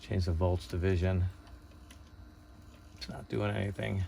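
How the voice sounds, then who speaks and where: A man's voice: low-pitched, steady tone, measured pace, vocal fry, mellow, a little hiss or hum. A man giving a casual hands-on tutorial, thinking aloud in an unpolished, hesitant way. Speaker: hobbyist demonstrator.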